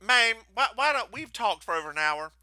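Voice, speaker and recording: Bright, lively-voiced male podcast host. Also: very high voice, fairly quick, dry recording. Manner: playful, teasing. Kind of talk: casual chat.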